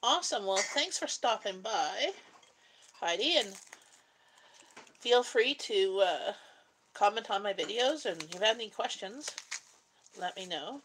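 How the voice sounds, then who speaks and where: A woman's voice: mid-range voice, animated, unhurried, long pauses, a bit bright, a little hiss or hum, low-quality recording. A middle-aged Canadian woman chatting casually with viewers on a live stream, talkative and giggly. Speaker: live stream host, mother. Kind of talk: casual live chat with viewers while cooking.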